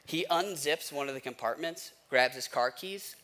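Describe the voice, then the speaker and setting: An American man giving a conversational sermon as a pastor, emphatic, repeating key phrases with pauses. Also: a little high, heavy background noise. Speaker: pastor preaching to a congregation.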